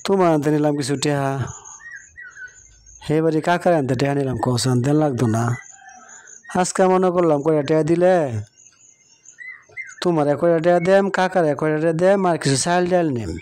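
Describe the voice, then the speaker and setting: A man's voice: a little high, normal speed, pauses often, darkish tone, a little hiss or hum, natural voice. A man voicing a poor, worried village labourer in a cartoon, with a rural Bangladeshi accent and a plaintive, muttering manner. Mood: troubled. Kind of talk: colloquial cartoon dialogue.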